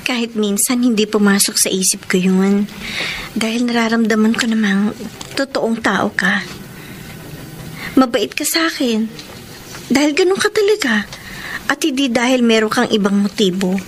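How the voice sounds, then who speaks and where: A woman with a Filipino accent playing a shy, grateful young housemaid in a radio drama, speaking earnestly and with measured delivery. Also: a little high, a little hiss or hum, natural voice. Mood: earnest, tender. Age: young adult.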